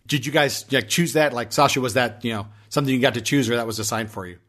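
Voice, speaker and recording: A man's voice: mid-range voice, quick pace, fairly bright tone. A man hosting a podcast interview, talking in a chatty way with many restarts and hesitations. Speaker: podcast host.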